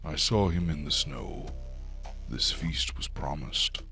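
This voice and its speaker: Man doing a slow, deep, portentous giant. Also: vocal fry, bright, forceful tone, faint music behind, full character voice. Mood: solemn. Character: grave, superstitious male giant seer.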